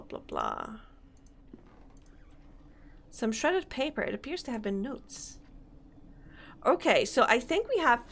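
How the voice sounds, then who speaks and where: A young woman streaming a game, chatting casually, reading on-screen text aloud and laughing often, her voice slightly hoarse. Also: on the high side, a bit bright. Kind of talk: casual let's-play commentary.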